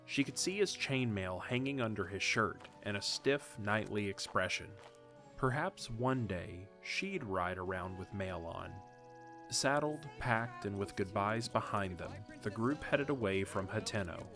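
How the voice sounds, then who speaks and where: A man with a fairly low voice narrates a story in a steady, measured way. Soft music runs faintly beneath his narration.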